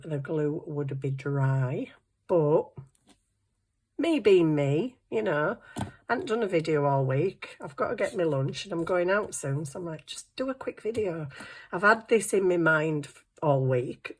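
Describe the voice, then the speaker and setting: A woman with a hoarse, croaky voice, chatting casually and thinking aloud through a crafting tutorial. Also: sits low. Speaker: craft vlogger.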